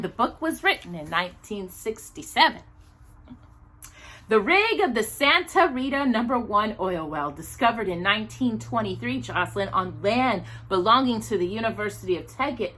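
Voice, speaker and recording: American woman, storytime host, lively swooping intonation, bright voice, casual read-aloud delivery. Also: fairly high pitch, normal speed. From Texas, United States. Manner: chatty asides, stumbles over longer words. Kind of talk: reading a children's nonfiction book aloud with casual asides.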